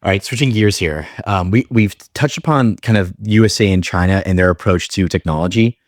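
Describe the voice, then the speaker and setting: Young guy hosting a podcast, deep voice, lots of ums and likes, dry sound. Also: brisk, no room sound. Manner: rambling, hesitant, many fillers. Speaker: podcast host. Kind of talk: casual interview questions.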